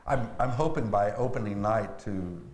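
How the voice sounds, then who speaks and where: A man's voice: lowish voice, moderate pace, a little mellow. A middle-aged man chatting casually as an actor being interviewed. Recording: echoey room with clear background noise.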